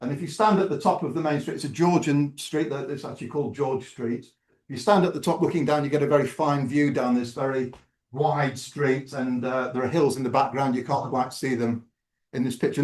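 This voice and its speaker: A man's voice: fairly high pitch, darkish tone. A man, giving a talk to a club and speaking conversationally with ums and restarts. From England. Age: 60s. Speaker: guest speaker. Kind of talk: public lecture with slides. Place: lecture room. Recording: echoey room.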